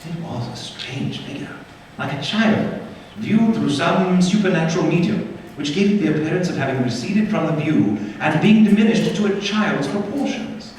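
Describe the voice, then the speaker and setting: A man narrating a radio play as a storyteller, with theatrical, deliberate phrasing. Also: on the high side, expressive, a little mellow. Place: theatre stage. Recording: echoey theatre hall, distant mic, faint noise.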